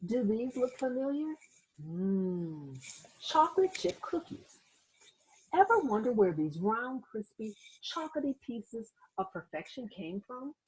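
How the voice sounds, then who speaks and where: A woman hosts a picture book read-aloud for children. Her voice is very husky and soft-edged, with a mellow tone. She tells the story with lively, varied pitch and speaks directly to young listeners in small asides. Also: measured pace, low-quality recording.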